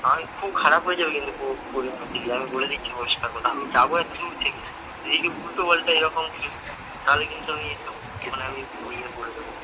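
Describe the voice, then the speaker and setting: A man's voice: a little high, quick pace. A man talking bluntly and argumentatively in a casual phone conversation. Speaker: prank call recipient. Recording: phone call with clear vehicle-like noise.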